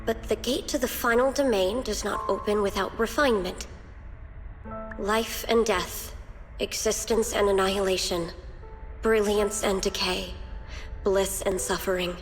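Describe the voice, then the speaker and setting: An actress playing a grave, haunted young woman in a dramatic video game, speaking in halting, solemn phrases. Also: mid-range voice, steady tone, close to an everyday voice. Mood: solemn resolve. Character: grave, haunted young woman, lost survivor turned devout seeker. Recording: reverberant hall with music behind.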